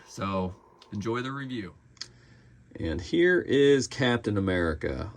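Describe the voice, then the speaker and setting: A man giving a casual, unscripted collectible review, thinking aloud with halting pauses. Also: lowish voice.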